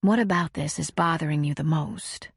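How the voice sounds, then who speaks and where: A woman voicing a calm, patient counselor who asks gentle, probing questions. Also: low-pitched, normal speed, gravelly, slightly rough, no echo, clean studio sound, exaggerated voice.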